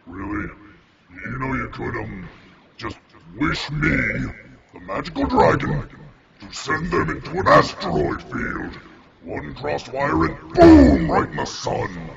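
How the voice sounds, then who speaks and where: A man's voice: mid-range voice, wildly swooping pitch, takes it slowly, gravelly, recorded from a distance, a loud crowd, heavily acted. A man voicing a jaded, sarcastic magical dragon in a comedic anime parody dub. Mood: exasperated, weary. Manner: sarcastic, world-weary. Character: jaded, exasperated giant magical wish-granting dragon. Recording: muffled lo-fi dub with loud background noise.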